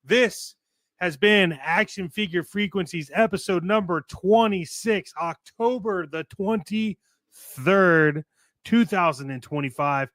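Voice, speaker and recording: A man with a fairly high voice hosts a show in casual, conversational talk. He is playful and given to mock-grand flourishes. The sound is dry, with very little room in it. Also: slow pace, a bit bright, dry recording. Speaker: podcast host. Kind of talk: casual chat hosting a show.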